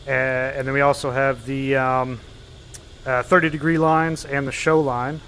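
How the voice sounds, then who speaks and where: A man's voice: medium pitch, little pitch movement, measured pace, a bit bright, slight background noise. A man with a stuffy, slightly nasal voice narrating a simulated flight live, explaining as he goes with many fillers. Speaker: flight sim pilot streaming.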